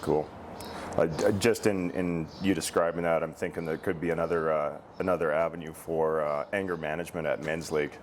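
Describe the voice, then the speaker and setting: A man hosting a casual interview, speaking haltingly and repeating words as he phrases his questions. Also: extremely low pitch, fairly even intonation, a little hiss or hum. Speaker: interview host, girls hockey director.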